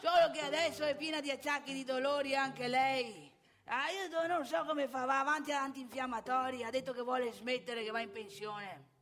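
A woman's voice: sits high, steady tone, moderate pace, a bit bright. A woman playing a boastful, nostalgic old man in a theatrical comic monologue, rambling and emphatic. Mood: lusty, affectionate. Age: older. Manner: rambling, emphatic, addresses listener with rhetorical questions.

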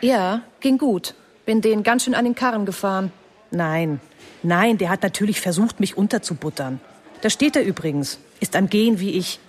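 An actress playing a sharp-tongued woman politician in a radio play, sardonic and self-assured. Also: medium pitch, animated, normal speed, lightly acted.

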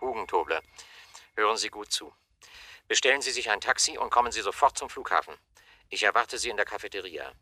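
An actor playing a manipulative, domineering police chief in a German radio drama, speaking in an insistent, measured and cutting way. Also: male voice, fairly low pitch, lively intonation, bright, forceful tone, no room sound, heavily acted. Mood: urgent, commanding. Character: cold, coercive male police chief, manipulative.

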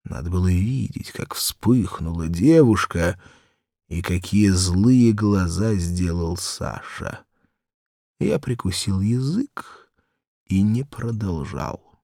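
Gravelly, theatrical man narrating a story. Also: low voice, takes it slowly, mellow, no room sound, studio quality. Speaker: audiobook narrator. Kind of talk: dramatic first-person story narration. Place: studio.

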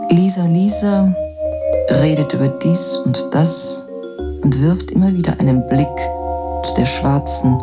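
A man narrating a literary radio drama in a measured, detached, observational way. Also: a little high. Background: mallet percussion music.

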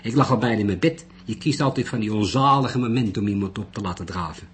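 A man's voice: fairly low pitch, quick pace, lightly acted. A man reading an audiobook, voicing an irritable male police photographer who complains crossly. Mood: irritated. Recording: noisy recording.

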